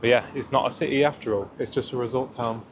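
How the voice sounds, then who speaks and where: A young man with a deep voice, chatting casually in a rambling way as he vlogs a walk outdoors through a town. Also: quick pace, muffled recording, background noise. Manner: relaxed, rambling, many fillers. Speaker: travel vlogger. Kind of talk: casual walking vlog. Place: outdoors in a town square and park.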